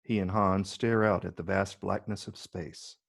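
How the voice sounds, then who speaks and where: Deep, gravelly male script narrator. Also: fairly even intonation, measured pace. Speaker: stage-direction narrator.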